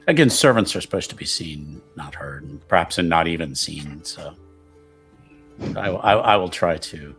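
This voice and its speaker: A man's voice: deep voice, creaky, a bit bright, voice pushed down, full character voice. A man playing a discreet, shrewd investigator who speaks in a hesitant, musing, formal way.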